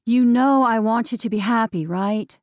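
A woman's voice: fairly high pitch, moderate pace, darkish tone, dry recording, close to an everyday voice. Young mother, blunt, pointed, harried, voiced by a woman narrator, dry recording. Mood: sharp.

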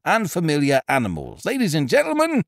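A man voicing a nervous, pompous male theatre announcer who calls out loudly and drops his H's. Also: wildly swooping pitch, creaky, no echo, studio quality, full character voice. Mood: flustered announcing. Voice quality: loud, high and drawn-out, dropping his H's.

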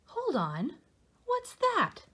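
A woman voicing an excitable, dramatically exasperated little boy from a storybook, with theatrical, indignant exclamations. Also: very high voice, drawn-out words, pitched above the performer's own voice, full character voice. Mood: alarmed, exasperated.

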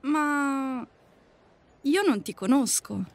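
A woman playing a defiant, spirited young daughter in an audio drama, expressive and ready to take offence. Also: high voice, extremely slow, heavily acted. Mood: hesitant.

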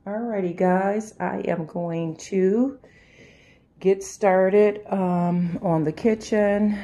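A woman vlogger talking casually to her viewers in a halting, unscripted way, often circling back on herself as she shows her house. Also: mid-range voice, steady tone, warm tone. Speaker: home and lifestyle vlogger. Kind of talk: casual chat to viewers during a house tour. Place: inside a house, walking between rooms and sun porch.

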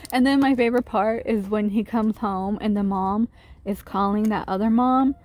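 A woman's voice: mid-range voice, moderate pace, a little mellow. Chatty woman gushing over store finds. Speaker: shopping vlogger. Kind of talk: shop-with-me walkthrough. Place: large retail store, indoors. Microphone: handheld phone camera.

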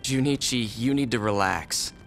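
An actor playing an arrogant young gang boss and spoiled heir in a video game, condescending and curt. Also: male voice, medium pitch, normal speed, creaky, a bit bright, slight background noise. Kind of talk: video game cutscene acting.

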